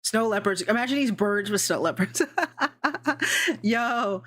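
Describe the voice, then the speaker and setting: A woman's voice: mid-range voice, slow pace, a bit bright. A young adult woman hosting a casual livestream, chatting in a rambling way, swearing freely and laughing often. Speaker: VTuber streamer hosting a worldbuilding session.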